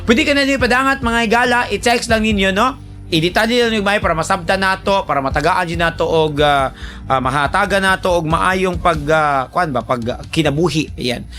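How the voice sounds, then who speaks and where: Male radio host, high-pitched, conversational and emphatic advice-giver over light background music. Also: brisk, bright, forceful tone.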